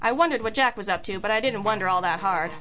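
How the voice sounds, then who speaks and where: A woman's voice: medium pitch, fast talker, pushed and bright, natural voice. A woman playing a wry, self-assured girl detective, narrating an old-school radio mystery in a dry, wisecracking manner. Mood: wry, brisk. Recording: music under the voice.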